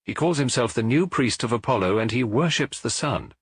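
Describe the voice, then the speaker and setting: A man's voice: mid-range voice, lively intonation, a little fast, slightly rough, no room sound. A man voicing a tall private detective in an audiobook story, amused, careless and lightly conversational. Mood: amused, breezy.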